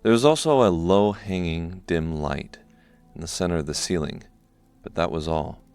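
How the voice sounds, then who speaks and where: A man reading scripted narration for a dark fantasy audiobook. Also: sits low, normal speed, clean tone, faint music behind.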